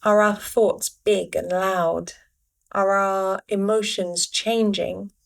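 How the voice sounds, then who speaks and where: A woman leading a guided meditation, giving gentle instructions in short phrases with pauses between them. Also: mid-range voice, steady tone, creaky.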